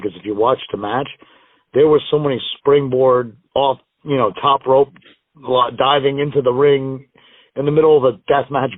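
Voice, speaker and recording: A man from the United States talks in a loose, rambling way, poking fun at himself and laughing as he goes. His voice sits at an ordinary middle pitch. It comes through a thin, dry phone line with no room sound. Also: no room sound. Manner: rambling, self-deprecating, laughs often. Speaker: wrestler guest. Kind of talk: casual interview storytelling.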